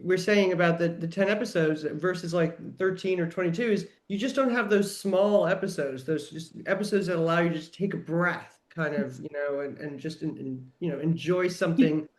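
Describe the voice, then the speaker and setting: A woman's voice: fairly low pitch, brisk, hardly any pauses, a little mellow. A woman chatting casually on a podcast, enthusiastic and emphatic, with a conversational manner full of "like". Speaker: guest TV writer and producer.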